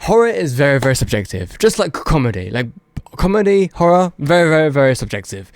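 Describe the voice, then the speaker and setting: A man's voice: a little high, sing-song, brisk, no echo, studio quality. A man giving casual, unscripted video commentary, rambling and hedging as he goes and repeating words for emphasis. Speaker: video host.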